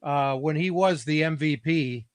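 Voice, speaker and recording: Middle-aged man, slightly high voice, dry close sound, upbeat host. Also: smooth, no echo. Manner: eager, chatty, quick to react and laugh. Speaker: podcast host. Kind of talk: casual interview conversation.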